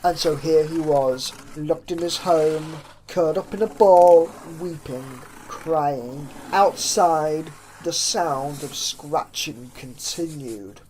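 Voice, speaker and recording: A woman narrates a horror story in a theatrical, suspenseful way, with drawn-out phrases and hushed drops. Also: low-pitched, low-quality recording. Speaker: fan fiction narrator. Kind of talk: dramatic horror story reading. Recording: clear background noise with ambience.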